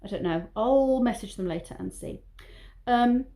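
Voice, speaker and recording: A woman chats to her viewers in an easygoing vlog, drifting from one thought to the next. Her voice is mellow and warm. She talks in a loose, rambling way and admits when she is waffling. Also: fairly high pitch, normal speed. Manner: rambling, chatty, self-aware waffling. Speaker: vlogger. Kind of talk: casual vlog monologue.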